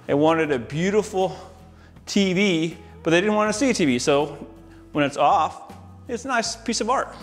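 A man's voice: sits high, animated, normal speed, soft background music. An American man in his forties giving an enthusiastic, informal walk-through to camera as a home installer. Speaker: home audio-video installer giving a tour. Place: inside a large, mostly empty house.

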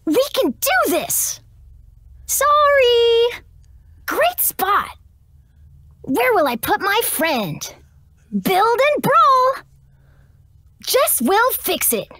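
A plucky little girl inventor from a video game. Her voice is bright, lively and extremely high, chirping confidently into a dry recording. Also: extremely slow, pauses often, no room sound, full character voice. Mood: chirpy. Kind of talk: video game character lines.

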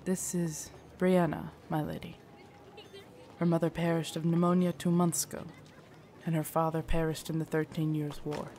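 Low-voiced, loyal female knight played by a woman. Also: level tone, dark tone, slight background noise. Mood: sorrowful, tender. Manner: formal, deferential, soothing, measured. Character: loyal, gentle female royal knight guarding her queen. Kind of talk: immersive audio roleplay acting.

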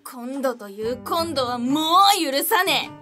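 A fiery tomboy cat spirit, scolds in a very high, piercingly bright voice. She is brash and rough-spoken, swinging wildly in pitch. It sounds like an animated fantasy audio drama. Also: slow, faint music behind, heavily acted. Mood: furious. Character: hot-tempered, tomboyish female tiger-cat yokai. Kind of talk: Japanese fantasy comedy voice drama acting.

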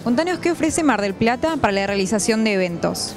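A young woman with an Argentine accent, a TV reporter introducing guests and asking questions in a crisp presenter way, holding a handheld mic. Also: on the high side, animated, brisk, over music. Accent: Argentine Rioplatense Spanish.

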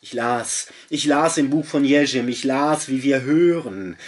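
A man in his fifties with a husky voice gives a solemn, incantatory poetry recitation with deliberate pauses. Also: mid-range voice, slight background noise. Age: 50s. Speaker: poet reading own work. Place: small book-filled study indoors by a window. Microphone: webcam or desk mic at close range.

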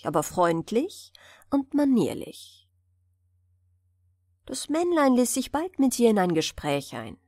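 Slow, expressive woman storyteller. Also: mid-range voice, slightly rough, dry recording, clean studio sound. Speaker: audiobook narrator. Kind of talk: scripted fairy-tale narration, bedtime storytelling.

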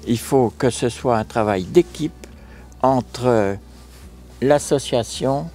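An older man from France, a local mayor, recounting a restoration project in a measured, formal way in an outdoor interview. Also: mid-range voice, dark tone. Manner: measured, formal, a few fillers. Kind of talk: interview answers, measured account. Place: outdoors on a stone chapel tower balcony.

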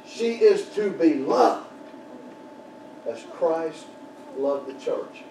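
Older pastor preaching loud then praying soft, kinda high voice, echoey church, heard from back of room. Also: male voice, lively intonation, extremely slow. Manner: fervent preaching with sudden shouted peaks, then quiet prayer. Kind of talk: sermon. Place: small church sanctuary. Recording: roomy church echo from far off, background noise.

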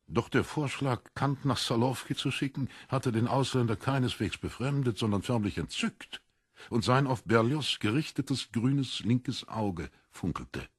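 A man's voice: mid-range voice, unhurried, breathy edge, dry recording, clean studio sound. A man narrating a literary story expressively, as in an audiobook.

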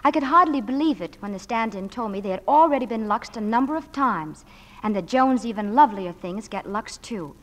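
A woman's voice: a little high, lively intonation, fairly quick. Young 1940s starlet, woman, reverberant hall. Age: young adult. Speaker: film starlet, radio guest. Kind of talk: scripted 1940s radio interview.

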